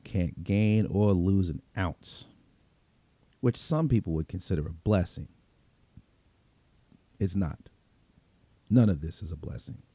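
Audio story lead: male actor as brooding, world-weary Brooklyn vampire, streetwise first-person storyteller, low and very dark timbre. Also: no echo, exaggerated voice. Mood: weary, bitter. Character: world-weary vigilante vampire from Brooklyn, looks twenty-six. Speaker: story reader.

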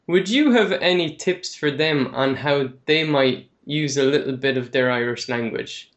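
A man's voice: medium pitch, unhurried. A man hosting a podcast interview, asking questions in a friendly, chatty way. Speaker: podcast host.

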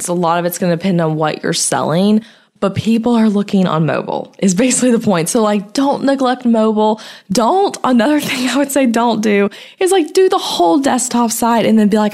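Slightly hoarse woman, chatty podcast host. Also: medium pitch, darkish tone. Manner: chatty, uses "like" a lot. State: slightly hoarse from a sore throat. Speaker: podcast host and web designer. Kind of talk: casual solo podcast talk.

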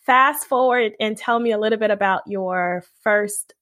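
A woman's voice: medium pitch, moderate pace, fairly bright tone. A woman hosting an interview podcast, who asks questions in an upbeat, encouraging, polished way. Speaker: podcast host.

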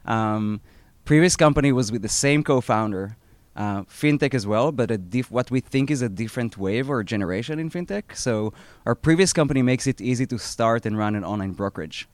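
A man in his thirties with an Israeli accent speaks as a founder at a live event, pausing often with fillers and restarting his phrases. His voice is smooth and clear, with a dry, close sound. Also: medium pitch, lively intonation, no echo. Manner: halting, many fillers and restarts. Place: outdoor startup event.

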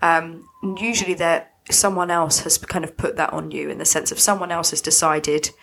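A woman co-hosting a podcast, a little sniffly and husky from a cold, explaining her thoughts at length. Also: low-pitched, level tone, moderate pace, a bit bright, a little hiss or hum, rough recording. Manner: reflective, talks in long loose explanations. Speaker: podcast co-host. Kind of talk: casual podcast chat.

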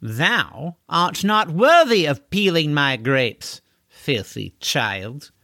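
A man's voice: sits high, very animated, slow pace, pushed and bright, no room sound, clean studio sound, pitched above the performer's own voice, exaggerated voice. A man voicing a haughty, spoiled, lanky horseman who sneers in pompous mock-archaic speech for a storybook. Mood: sneering, scornful.

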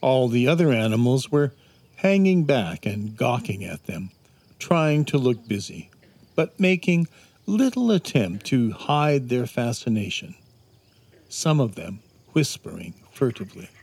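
A man narrating a scripted children's adventure story in a measured, dramatic storytelling manner. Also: medium pitch, sing-song, darkish tone, slightly rough. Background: instrumental music.

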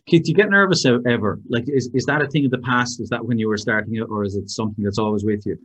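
A man's voice: mid-range voice, fast, a bit bright, husky, low-quality recording. A man with a Waterford Irish accent, an easygoing podcast host who tells anecdotes and asks questions. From Ireland, Waterford. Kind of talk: podcast interview.

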